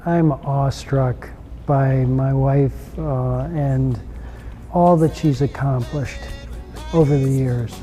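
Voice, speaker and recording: An older man in a casual interview, telling stories in a relaxed, chuckling way. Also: medium pitch, takes it slowly, soft background music. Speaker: interviewee, husband.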